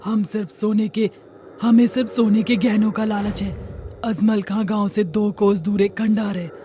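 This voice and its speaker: Man putting on a high, muted greedy witch. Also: fairly even intonation, normal speed, mellow, a little hiss or hum, close to an everyday voice. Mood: confessing. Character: gold-greedy female witch, wandering ghost.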